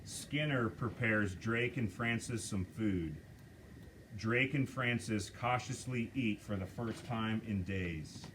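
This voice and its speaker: A man reading stage directions aloud at a script table reading, slow and measured. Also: on the low side, fairly bright tone, a little hiss or hum.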